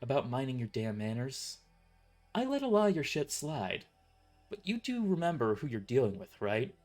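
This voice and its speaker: A man's voice: medium pitch, very animated, normal speed, darkish tone, soft background music, lightly acted. A man voicing a sarcastic, tough young waitress in a raised, mocking voice.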